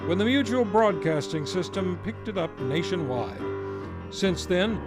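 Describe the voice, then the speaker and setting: A man hosting a live old-time radio show, introducing the cast in a formal announcer manner. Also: fairly high pitch. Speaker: radio play host. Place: local community theater stage. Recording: live show with guitar music behind.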